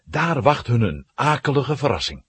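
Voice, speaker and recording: Very animated husky male storyteller. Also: mid-range voice, moderate pace. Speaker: narrator. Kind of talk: dramatic scripted children's story narration.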